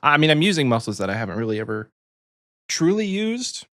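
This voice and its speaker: A man in his early thirties co-hosting a podcast, easygoing and quick to laugh. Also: fairly high pitch, very animated, fairly bright tone, dry recording, studio quality. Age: 30s. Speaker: podcast co-host. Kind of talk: casual chat.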